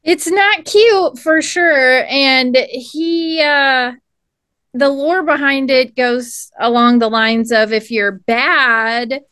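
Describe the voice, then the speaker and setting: Podcast guest, woman, Cleveland twang with Southern bits, slow, dry sound. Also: high voice, bright, forceful tone, smooth, no echo. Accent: Cleveland mixed with Southern. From Cleveland, Ohio. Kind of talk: casual chat.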